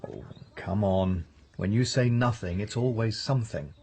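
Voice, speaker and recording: A man's voice: on the low side, normal speed, a little mellow, breathy edge. An actor in a radio drama playing a dismissive, easygoing husband and father who is easily exasperated. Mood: relaxed, dismissive.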